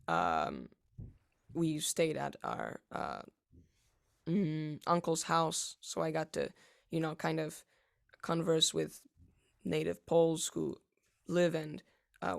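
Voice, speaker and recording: A teenage boy answering interview questions about piano, halting, with many fillers and restarts. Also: fairly high pitch, gravelly. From United States. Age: teens. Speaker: young pianist interviewee.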